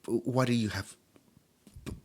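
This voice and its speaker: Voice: medium pitch, steady tone, slow pace, slight background noise, close to an everyday voice. A performer voicing a nerdy, easily flustered immortal demon in an ASMR roleplay, with a stammering, theatrical delivery. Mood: guarded, uneasy. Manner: stammering, theatrical, swinging from soft musing to panicked outbursts. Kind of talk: roleplay ASMR character acting.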